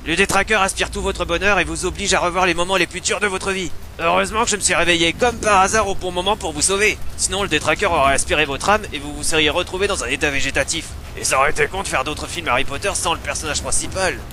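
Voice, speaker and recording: A man's voice: on the high side, fast talker, few pauses, bright, forceful tone, slight background noise. A man voicing a wry wizard who explains things with sarcastic asides in a comic fan parody dub. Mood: wry, explanatory.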